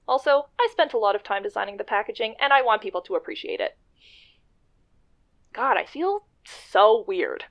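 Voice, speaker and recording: A woman acting in a radio play as a self-conscious businesswoman, speaking in a halting, wry, self-interrupting way. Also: medium pitch, lively intonation, a bit bright. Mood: uneasy, musing. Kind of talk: radio drama acting, halting confessional monologue.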